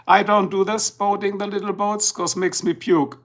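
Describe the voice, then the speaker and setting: Gravelly German-accented man on a livestream. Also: high voice, little pitch movement, fairly bright tone. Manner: rambling, chatty, slow and drowsy at times. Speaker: livestream host. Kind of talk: casual live-stream chat. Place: indoors, filming a tablet slideshow.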